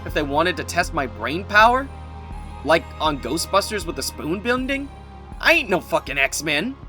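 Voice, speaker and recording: A man's voice: fairly high pitch, sing-song, moderate pace, sharp tone, exaggerated voice. An actor playing an anxious, foul-mouthed young male prisoner in an audio drama, agitated and sarcastic, prone to exclaiming. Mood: incredulous. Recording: music behind the voice.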